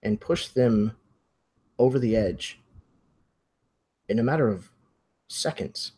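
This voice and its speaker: A man running a tabletop mystery game as game master, narrating in measured, formal phrasing. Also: lowish voice, lively intonation, dark tone, slightly rough.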